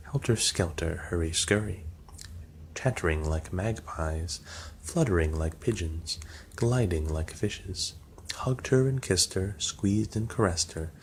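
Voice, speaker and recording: A man narrating a poem aloud as an ASMR reading. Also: deep voice. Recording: clear background noise.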